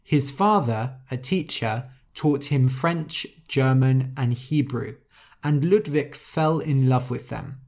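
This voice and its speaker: A man's voice: mid-range voice, takes it slowly, a little mellow. A man teaching English to learners, explaining words and spelling them out before reading a story aloud. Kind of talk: slow teaching narration for learners.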